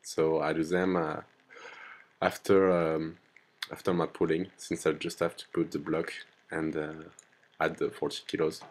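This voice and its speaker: A young man with a French accent talks through his own workout footage in a recorded voice-over. His voice sits very low, and he speaks haltingly, stopping often on fillers as he looks for words. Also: flat delivery, a little hiss or hum. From France. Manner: hesitant, many fillers and pauses. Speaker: lifter narrating his training video. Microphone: voice-over recorded apart from the gym footage.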